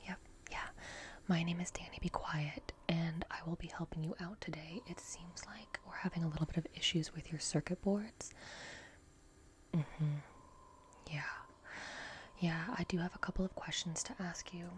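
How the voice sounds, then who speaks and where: A woman doing a gentle ASMR roleplay as a reassuring technician, speaking in an unhurried, breathy whisper. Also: fairly low pitch, fairly even intonation, a little hiss or hum. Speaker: ASMR roleplay performer playing a repair technician.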